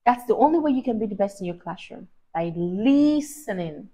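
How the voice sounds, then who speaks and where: A young woman reading a story aloud to a child in an expressive, encouraging, teacherly way, often prompting with questions. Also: mid-range voice, moderate pace. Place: small indoor room on a sofa. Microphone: lapel mic.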